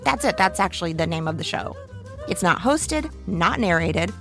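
A woman's voice: fairly low pitch, big pitch swings, normal speed, a bit bright, soft background music. A woman narrating a podcast trailer in a wry promotional style.

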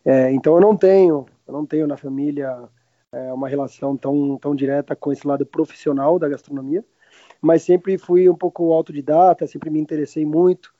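A man in his late thirties with a Brazilian accent talks casually as an interview guest. His voice sits a little high, and he speaks informally, often backtracking mid-sentence. The recording is dry and slightly muffled. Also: steady tone, a little fast, no room sound. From Argentina. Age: late 30s. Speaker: podcast guest, restaurant owner. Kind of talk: casual chat. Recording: roomless dry, slightly dull lo-fi sound.

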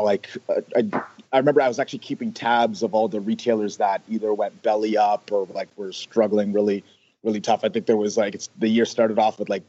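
A man's voice: fairly low pitch, mellow, dull audio. A man from Canada, a guest in a casual interview, talking with many fillers and restarts over remote call audio. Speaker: guest, sales rep.

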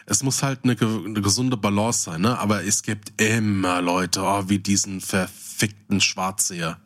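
A man's voice: on the low side, fairly even intonation, normal speed, a bit bright. A man co-hosting a podcast, switching between casual chat and reading out short descriptions. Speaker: podcast co-host.

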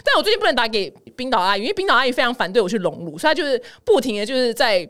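Taiwanese mandarin woman podcaster, talks fast, pitch bounces all over, candid n chatty. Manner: candid, self-mocking, expressive. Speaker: podcast host of a humorous news show. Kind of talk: casual chatty storytelling to listeners.

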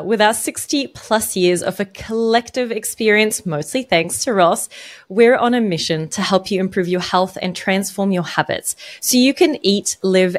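A woman with a medium-pitched voice co-hosts a health podcast as a dietitian. She is from Egypt and later moved to Australia. She speaks in a conversational hosting style.

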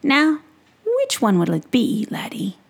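A woman putting on a jolly male pixie peddler from a storybook, loud and showy, with a husky, gravelly grain. He stretches his sales patter out slowly and swings it high and low. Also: big pitch swings, heavily acted. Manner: booming, drawn-out salesman patter.

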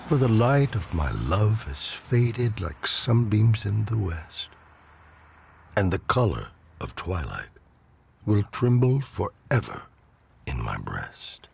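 Deep, slow man reciting poetry dramatically. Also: big pitch swings, creaky, darkish tone, slightly rough. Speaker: poetry reader. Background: ambient sound design.

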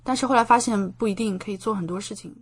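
Female podcast guest, young adult, mid-range voice, casual and chatty, expressive, enthusiastic delivery. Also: medium pitch, quick pace, slightly rough. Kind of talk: casual chat.

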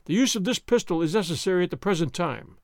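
A cool, self-assured masked swordsman, as a man voices him in a storybook reading. He speaks in calm, commanding tones with a mocking edge and a lively, rising-and-falling delivery. The voice is dry and close. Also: sits high, fast talker, no echo. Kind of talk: audiobook character reading.

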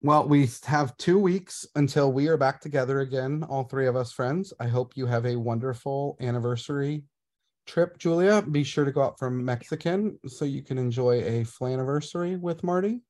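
A man co-hosting a podcast with a mid-range voice and a cheerful, teasing way of talking. His delivery stays relaxed and conversational. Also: medium pitch, moderate pace. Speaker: podcast co-host. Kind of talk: casual podcast chat.

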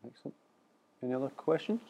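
A man's voice: mid-range voice, expressive, quick pace, gravelly, mellow, husky. A man hosting a live event, moderating the question round in a brief, upbeat way. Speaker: event host.

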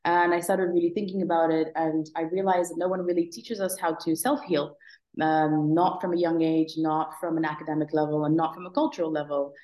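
A woman in her thirties, originally from Morocco, speaks as an interview guest with a low, even-paced voice. She talks in a reflective way, stopping for fillers and restarts. Her voice carries a noticeable room echo. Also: a little fast. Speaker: guest, app founder. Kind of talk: conversational interview.

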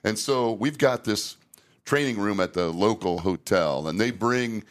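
Low-voiced middle-aged man, candid and rambling. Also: unhurried. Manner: candid, rambling, frequent restarts. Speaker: safety trainer and podcast host. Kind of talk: casual podcast conversation.

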